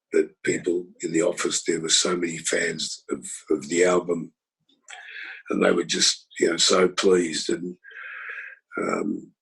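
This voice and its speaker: A husky-voiced man reminiscing in a rambling conversational way, with many pauses and fillers. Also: low voice, fairly bright tone, rough recording. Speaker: record label executive.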